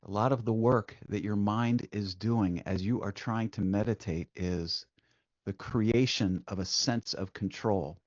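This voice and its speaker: A man leading a guided meditation as the guide, speaking gently and soothingly, unhurried, with long pauses between short instructions. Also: fairly low pitch, dry recording, highs cut off.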